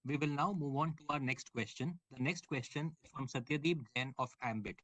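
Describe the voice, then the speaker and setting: A man's voice: mid-range voice, brisk, fairly bright tone, dry recording. Formal male conference call moderator, dry sound. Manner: polite, procedural.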